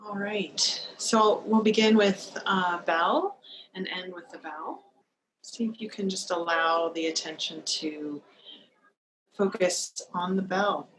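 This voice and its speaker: A woman leading a guided meditation as a teacher, speaking in a calm, gentle, unhurried manner with long pauses, over a video call. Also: on the low side. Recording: noisy live video-call recording.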